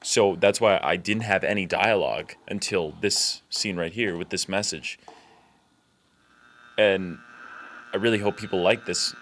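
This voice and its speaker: A man's voice: deep voice, a little slow, long pauses, a bit bright, slight background noise. A man giving casual, unscripted commentary as a filmmaker, with a blunt, informal, sweary way of talking.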